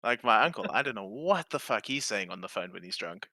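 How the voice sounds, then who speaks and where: A man's voice: lowish voice, big pitch swings, a little fast, pushed and bright, no echo, clean studio sound. A man, a native Afrikaans speaker, running a tabletop game as game master and joking with the players.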